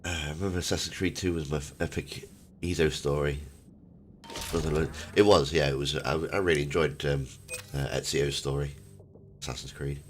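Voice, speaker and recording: A man's voice: very deep voice, fairly even intonation, normal speed, a little hiss or hum. A man chatting casually as he streams a game, rambling a little and searching for words. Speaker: streamer and host. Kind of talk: casual chat while gaming.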